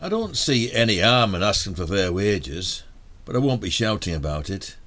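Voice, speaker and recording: Wry Northern English retired detective. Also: male voice, lowish voice, sing-song, moderate pace, fairly bright tone, dry recording. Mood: dry. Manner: dry, sarcastic. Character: wry retired detective, male, older villager. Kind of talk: radio drama acting.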